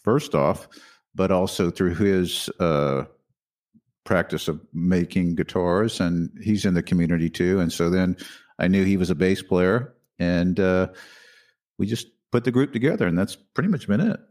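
A man who is the guitarist, singer and songwriter of a band, answering interview questions in a halting way with many fillers. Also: sits low, a little mellow. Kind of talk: casual interview talk.

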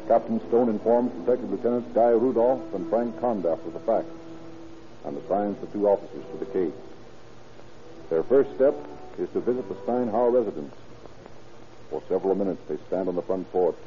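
A man's voice: fairly low pitch, moderate pace, faint music behind, natural voice. A man narrating a police case in an old-time radio crime drama, on a scratchy, muffled vintage recording. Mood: grave, matter-of-fact. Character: grave male announcer narrating a police case.

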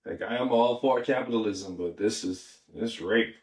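A man's voice: fairly low pitch, moderate pace. A man from the Bahamas talking casually and emphatically, with informal phrasing and repeated phrases for stress. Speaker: podcast participant. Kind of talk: casual conversation. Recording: echoey room, distant mic.

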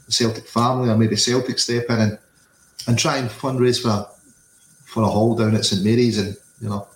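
A man's voice: medium pitch, a little hiss or hum. A Scottish man co-hosting a casual football podcast over a video call. He rambles and repeats himself, with lots of you-knows. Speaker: podcast co-host. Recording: echoey room with faint ambience.

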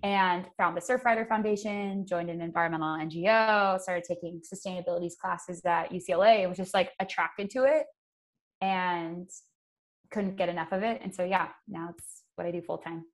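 A woman's voice: lowish voice, moderate pace. A young American woman giving earnest, conversational interview answers as an activist guest, explaining her points and voicing imagined thoughts.

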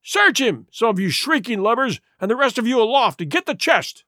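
Guy doing a mad blind pirate beggar from a storybook, barking orders, loud and high, swoops around. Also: very animated, moderate pace, no echo, studio quality, exaggerated voice. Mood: furious, commanding. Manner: shouting, cursing, imperious. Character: blind villainous old pirate beggar, furious, commanding.

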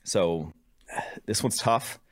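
A man's voice: fairly low pitch, very slow. A man hosting a sports podcast, giving casual, conversational analysis of games.

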